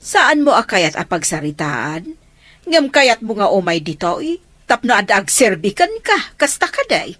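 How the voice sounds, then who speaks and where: An actress playing an exasperated mother in an Ilocano radio drama, reproachful and expressive. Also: medium pitch, measured pace, dry recording. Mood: exasperated, scolding. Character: exasperated, scolding mother of grown daughters.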